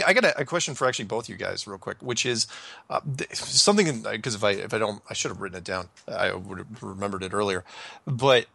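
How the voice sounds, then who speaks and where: Man with a bright, dry voice, chatting casually. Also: on the low side, creaky, no echo. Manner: rambling, many restarts and fillers. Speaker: podcast host. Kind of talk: casual call-in chat.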